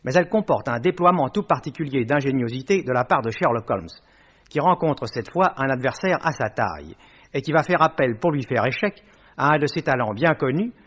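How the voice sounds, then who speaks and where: A man's voice: medium pitch, lively intonation, brisk, fairly bright tone. A man presenting a radio series with a formal, scripted introduction, polished and courteous in manner. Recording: dull old radio broadcast recording.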